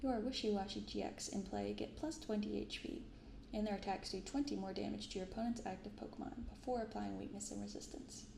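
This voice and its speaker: Soft-spoken woman, low creaky voice, roomy sound. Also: steady tone, fairly quick, hardly any pauses. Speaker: host of a card-opening channel. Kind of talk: soft-spoken ASMR narration, reading card text aloud. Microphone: binaural microphone. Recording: roomy sound with some background noise.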